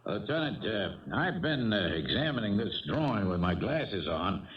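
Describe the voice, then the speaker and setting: American beat cop, male, animated pitch, halting, apologetic, earnest, muffled vintage radio drama sound. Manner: halting, apologetic, plain-spoken. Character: sheepish, earnest, self-reproaching police patrolman. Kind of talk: 1970s radio crime drama acting. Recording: dull old radio broadcast, slightly distant.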